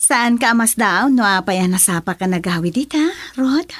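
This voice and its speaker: A woman's voice: on the high side, animated, moderate pace, no echo. A woman playing a chatty wife. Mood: affectionate.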